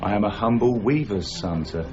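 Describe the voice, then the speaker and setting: An actor playing a smooth-talking, self-made schemer in a period radio drama, ingratiating and wry with a show of humility. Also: male voice, fairly low pitch, expressive, normal speed, dull audio, over music. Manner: ingratiating, mock-humble, wry. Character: smooth-talking, flattering, sly self-made would-be deputy.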